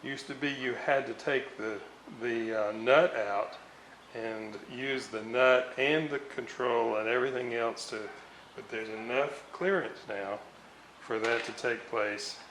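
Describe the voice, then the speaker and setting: A man giving an unscripted hands-on demonstration at a workbench, halting and muttering to himself as he works. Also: medium pitch, steady tone, a bit bright. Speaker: radio restorer. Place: indoor room, at a workbench. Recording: roomy, noisy home recording.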